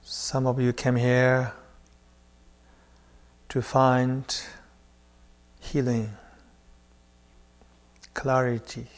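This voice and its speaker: A man leading a guided meditation, speaking in short phrases with long pauses between them. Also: mid-range voice, monotone. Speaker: meditation teacher.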